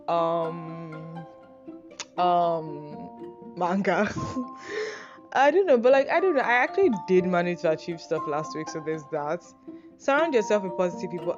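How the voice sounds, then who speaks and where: A young Nigerian woman with a Nigerian accent, rambling through an advice list in a self-deprecating, casual monologue full of fillers and swearing. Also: fairly low pitch. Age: young adult. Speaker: podcast host. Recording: dull lo-fi sound with music behind.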